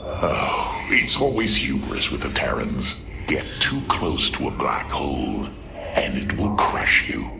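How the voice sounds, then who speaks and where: A man voicing a haughty, menacing alien warlord in a video game cutscene, gravelly and theatrically scornful. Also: very deep voice, big pitch swings, background noise, full character voice. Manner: slow, sneering, theatrical delivery. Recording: music behind the voice.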